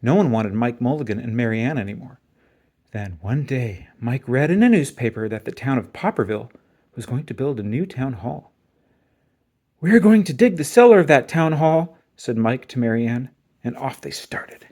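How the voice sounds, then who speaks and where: Guy reading a kids storybook out loud, medium pitch, really expressive up and down. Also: moderate pace. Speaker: reader of a children's picture book. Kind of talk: storybook read-aloud.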